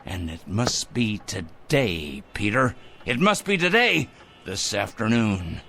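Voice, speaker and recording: A shrill self-righteous zealot. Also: male voice, very animated, takes it slowly, heavily acted. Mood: zealous. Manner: theatrical swings from hushed to shrill. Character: fanatical male vigilante, prim and self-righteous. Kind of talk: radio drama acting. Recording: faint music score behind.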